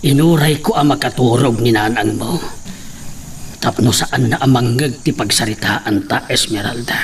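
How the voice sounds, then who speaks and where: Man acting a gravelly, secretive father. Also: mid-range voice, moderate pace, a little hiss or hum, lightly acted. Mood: hushed, concerned. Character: calm, secretive middle-aged father. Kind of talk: Ilocano radio-style audio drama acting.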